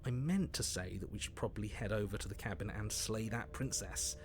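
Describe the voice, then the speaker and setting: A man's voice: lowish voice, a little fast, faint music behind, natural voice. Man voicing a scheming, conspiratorial opportunist. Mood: smooth. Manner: conspiratorial, smooth, wheedling. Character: scheming, slippery male inner voice, opportunist. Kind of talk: video game voice acting.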